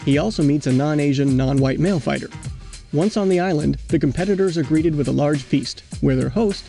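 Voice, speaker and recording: A man narrates a scripted comparison at a quick pace, deadpan and formally worded. His slightly high voice is mellow and creaky, with faint music behind it. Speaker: video narrator. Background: faint background music.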